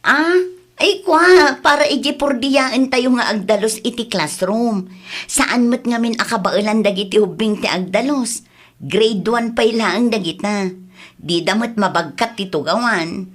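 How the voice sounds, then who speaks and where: A woman's voice: a little high, measured pace. A woman playing an Ilocano-accented village mother in a comedy-drama, chatting about everyday matters. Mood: friendly, chatty.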